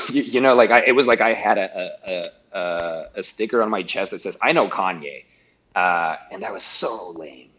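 Deep-voiced man talking in rambling restarts. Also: moderate pace, fairly bright tone, highs cut off. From Guatemala. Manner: rambling, many restarts and repeated words. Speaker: guest. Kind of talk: casual interview talk.

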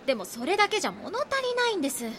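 A woman's voice: very high-pitched, very animated, rapid-fire, fairly bright tone, a little hiss or hum, exaggerated voice. A woman playing an ambitious, insistent young rookie magazine reporter in a Japanese voice drama. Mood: eager, pleading. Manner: earnest, insistent.

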